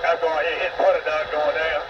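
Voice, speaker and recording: A somewhat high-pitched man talks like a trucker over a CB radio, in clipped, salty slang. His voice sounds distant and is buried in loud static and vehicle noise. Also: completely flat delivery, brisk. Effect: CB radio transmission. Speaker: truck driver. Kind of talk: casual CB radio chatter about road traffic. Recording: muffled, very noisy, distant AM CB radio. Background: heavy radio static and vehicle noise.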